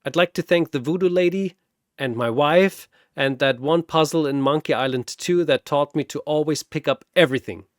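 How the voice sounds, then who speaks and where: A man's voice: fairly high pitch, normal speed, no room sound, clean studio sound, natural voice. A man voicing a cocky, battered comic pirate with a smug, quippy manner in a told story. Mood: triumphant, cheeky. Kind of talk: storybook-style character voice.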